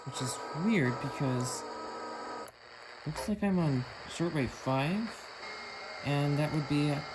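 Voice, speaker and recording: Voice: mid-range voice, slow. Casual vlogger, mid-range voice, musing aloud, hands-on demonstration, noisy home video with radio static behind. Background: shortwave radio hiss and whining.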